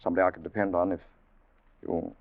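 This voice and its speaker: An actor playing a haunted, grieving but resolute sea captain in an old-time radio drama, grave and halting. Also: male voice, low-pitched, little pitch movement, lightly acted. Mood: subdued, hopeful. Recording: muffled vintage radio recording.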